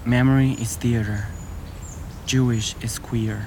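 A man's voice: medium pitch, steady tone, takes it slowly, warm tone. An actor playing a detached male speaker who recites provocative aphorisms in a radio play. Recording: clear background noise.

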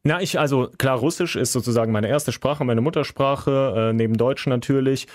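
A man co-hosting a podcast, explaining calmly in a plain, casual way. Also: mid-range voice, moderate pace, no echo, clean studio sound. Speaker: podcast co-host.

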